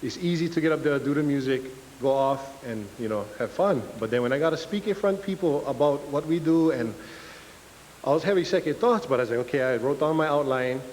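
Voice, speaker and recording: A young man from Hawaii speaks in a Hawaiian Pidgin accent, loose and self-mocking as he admits his nerves to the audience. His voice sits slightly high for a man. The recording carries a long reverb from a lecture hall and a faint hiss underneath. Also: animated, fairly quick, a little mellow. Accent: Hawaiian Pidgin English. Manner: nervous, joking, self-deprecating. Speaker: guest speaker. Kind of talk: casual public talk.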